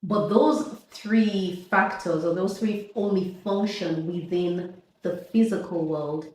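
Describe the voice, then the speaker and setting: A woman's voice: on the low side, takes it slowly, darkish tone. Echoey, distant fifty-something woman. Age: 50s. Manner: reflective, laughs often, restarts mid-thought. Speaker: podcast host. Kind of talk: casual faith conversation. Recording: echoey room, distant from mic, faint noise.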